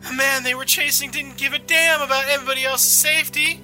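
A man's voice: very high-pitched, steady tone, quick pace, soft background music, voice pushed up, exaggerated voice. A man voicing a distraught woman who sobs as she tells a news reporter about a violent chase. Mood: sobbing. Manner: breathless, wavering, hushed. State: crying. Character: distraught sobbing woman witness, middle-aged.

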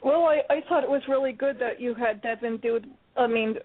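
A woman's voice: on the high side, a little fast, no room sound. A woman giving her thoughts on a play on a radio show, hesitant and full of ums. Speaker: playwright and guest. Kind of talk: casual radio discussion. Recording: dry phone line.

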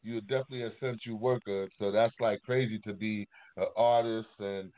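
A man hosting a casual interview, speaking haltingly and repeating words as he goes. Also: on the low side, steady tone. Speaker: interviewer, host. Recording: thin phone-like call audio.

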